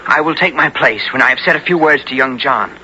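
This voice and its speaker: An actor playing an earnest, hot-headed young squire in a 1970s radio drama. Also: male voice, mid-range voice, brisk, bright, forceful tone. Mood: earnest, questioning. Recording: muffled, hissy old radio broadcast.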